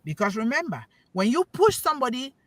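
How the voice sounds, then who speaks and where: Brief: woman, fairly high voice, emphatic motivational speaker, dry close sound. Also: very animated, a little fast, a bit bright, no echo. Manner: emphatic, preachy, repeats key words for stress; asks the listeners questions. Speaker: panel speaker on an online talk show. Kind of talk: motivational talk.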